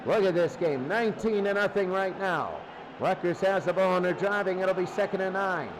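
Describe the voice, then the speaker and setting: High-voiced man calling football over noise. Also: normal speed. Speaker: play-by-play sports commentator. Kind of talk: American football radio-style play-by-play commentary.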